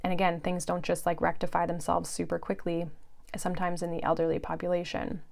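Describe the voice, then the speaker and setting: A woman's voice: on the low side, completely flat delivery, fairly quick, creaky, darkish tone. A woman in her thirties hosting a podcast, talking in a casual monologue that is chatty and full of fillers. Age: 30s. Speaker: podcast host.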